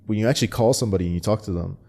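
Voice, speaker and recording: Man, low gravelly voice, dark tone, relaxed interview chat, lots of fillers. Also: racing. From Canada. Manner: relaxed, many fillers and restarts. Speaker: startup founder, guest. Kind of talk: casual interview talk.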